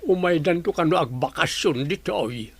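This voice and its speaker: An actor playing a chatty old village father with a raspy, creaky voice in a radio drama. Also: on the high side, lively intonation, normal speed. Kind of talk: Ilocano radio drama acting.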